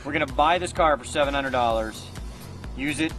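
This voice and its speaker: An American man chats through a travel vlog in a laid-back, upbeat way. Loud music plays along with his voice, with vehicle and outdoor sounds around it. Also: medium pitch, normal speed. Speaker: travel vlogger. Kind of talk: casual travel vlog talk and narration.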